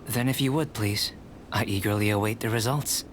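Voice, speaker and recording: A man playing a calm, cerebral young alchemist in a video game, speaking in a measured, articulate, scholarly way. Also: fairly low pitch, background noise, lightly acted. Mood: calm, instructive.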